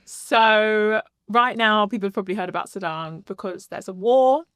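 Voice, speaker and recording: A woman's voice: medium pitch, moderate pace, smooth, no room sound. Chatty young woman, dry close mic. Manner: animated storyteller, many fillers like "like" and "um". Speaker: guest. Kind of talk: casual conversational interview.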